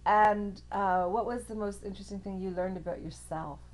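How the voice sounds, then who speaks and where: A woman's voice: medium pitch, normal speed, a little mellow, a little hiss or hum. Upbeat woman hosting an interview. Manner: friendly, upbeat, laughs often. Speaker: interview host. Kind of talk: casual interview over a video call. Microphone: video call.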